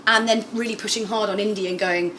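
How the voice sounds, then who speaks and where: Chatty young woman guest, animated. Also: mid-range voice, fast, a little hiss or hum. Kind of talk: casual chat.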